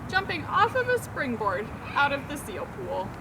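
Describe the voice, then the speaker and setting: Female storytime reader, very lively intonation, engaging young listeners, noisy ambient recording. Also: sits high, moderate pace. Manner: expressive, asks listeners questions about the pictures. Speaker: storytime reader, zoo educator. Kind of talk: children's picture book read-aloud.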